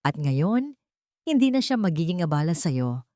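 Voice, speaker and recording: A woman's voice: lowish voice, sing-song, moderate pace, dark tone, no echo, studio quality. A woman storyteller voicing a cold, cunning rich wife who toys with her victim in a mocking, playful way. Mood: taunting, cold.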